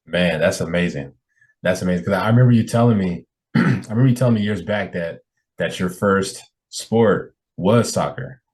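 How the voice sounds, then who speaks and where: Man, low voice, podcast host, casual conversational questions, encouraging manner. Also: moderate pace, darkish tone.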